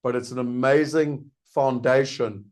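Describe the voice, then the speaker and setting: A middle-aged South African man speaks earnestly and persuasively, in a medium-pitched voice. He poses listeners' questions and answers them himself, like a live webinar host making a sales pitch. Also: fairly even intonation, unhurried, darkish tone. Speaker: investment program host.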